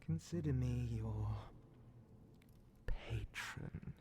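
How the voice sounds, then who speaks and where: A scheming, condescending demon Grand Vizier in a dark fantasy ASMR roleplay. The voice is soft and dark, slipping between breathy whisper and heavy gravelly creak. Every phrase is drawn out very slowly, with theatrical, silky menace. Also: male voice, medium pitch, a little hiss or hum. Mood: ominous, persuasive.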